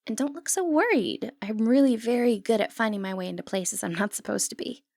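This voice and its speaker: A woman playing a sly, confident, flirty thief in a fantasy roleplay, playful and cheeky with breathy asides. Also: a little high, fairly quick, darkish tone, no room sound, clean studio sound. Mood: sly, hushed. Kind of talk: scripted fantasy ASMR roleplay, addressing the listener.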